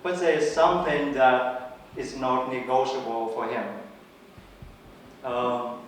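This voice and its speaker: A male priest with a Vietnamese accent talks at a slow, unhurried pace, with a touch of humor. His voice is mid-pitched. He is heard from a distance in a strongly echoing hall with faint noise behind. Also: fairly even intonation. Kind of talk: personal testimony talk. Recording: echoey hall, mic far off.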